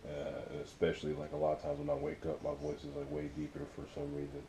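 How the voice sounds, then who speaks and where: A young man with a deep voice chatting casually about his music in a laid-back, rambling way, full of fillers. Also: very animated, quick pace, gravelly. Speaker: music artist vlogger. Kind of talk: casual vlog chat. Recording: roomy with loud rumbling noise.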